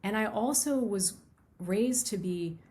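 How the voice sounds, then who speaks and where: A woman's voice: mid-range voice, animated, takes it slowly, mellow. Earnest middle-aged American woman guest. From United States. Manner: earnest, reflective storytelling with repeated phrases. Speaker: guest author. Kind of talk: conversational interview.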